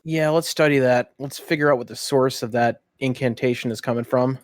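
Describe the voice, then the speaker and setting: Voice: mid-range voice, fairly even intonation, a little fast, no echo, clean studio sound. A tabletop game player chatting casually and thinking aloud.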